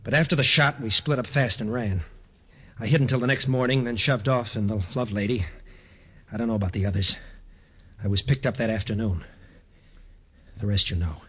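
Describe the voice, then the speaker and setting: A man acting a young condemned prisoner in an old-time radio drama, speaking earnestly and pleadingly in rough street slang. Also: on the low side, brisk, a bit bright. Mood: confessional. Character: young condemned male convict, earnest, repentant, urgent.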